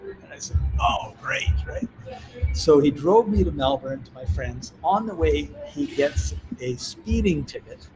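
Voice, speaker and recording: A man's voice: a little high, unhurried, rough recording. Canadian man telling a travel story over music. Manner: animated anecdotal telling, acts out quoted voices. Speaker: podcast host. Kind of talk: casual storytelling in conversation. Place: on a call from abroad. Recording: clear music playing behind.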